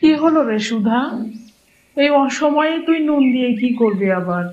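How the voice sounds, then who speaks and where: A man's voice: extremely high pitch, animated, moderate pace, dull audio. A man voicing a practical, forbearing old village wife in a cartoon, homely and matter-of-fact. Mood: approving, chatty. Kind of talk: cartoon story dialogue.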